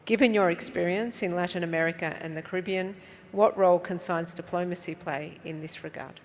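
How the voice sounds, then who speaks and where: A woman's voice: fairly low pitch, fairly even intonation, fairly quick, slight background noise. A woman moderating a conference panel, introducing the next speaker politely and with small fillers. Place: conference hall.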